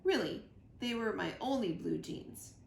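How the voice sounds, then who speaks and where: A woman reading a children's book aloud in an expressive, animated storytelling manner. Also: medium pitch, unhurried. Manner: animated, acts out dialogue. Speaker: reader of children's books at a library. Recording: echoey room, clear background noise.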